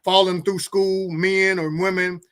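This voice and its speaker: Higher-pitched middle-aged man talking earnestly. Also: flat delivery, a little slow, a bit bright, clear, resonant tone. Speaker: guest pastor and co-host. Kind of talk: earnest talk-show conversation.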